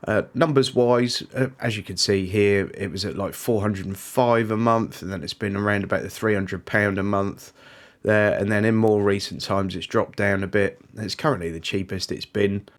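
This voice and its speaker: A British man with a fairly low voice and a slightly blocked, snuffly nose from a cold. He talks casually and informally, like a channel host, rattling off lease prices and car specs. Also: fairly even intonation, moderate pace. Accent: British English. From United Kingdom. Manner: chatty, informal, reels off figures. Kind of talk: casual review monologue.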